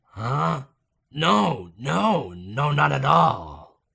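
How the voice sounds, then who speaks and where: Guy doing anguished young anime wolf, super gravelly n slow, halting, fan dub. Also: fairly high pitch, big pitch swings, bright, forceful tone, full character voice. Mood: flustered. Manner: halting, emotional, exclaiming. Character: anguished young male wolf, starving, devoted to his friend.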